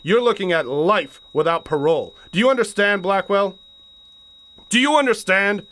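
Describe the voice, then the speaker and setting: An actor playing a stern, hard-boiled sheriff, commanding and deliberate, in a radio thriller. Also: male voice, high voice, lively intonation, fairly bright tone, slight background noise. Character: stern, hard-boiled small-town sheriff making an arrest.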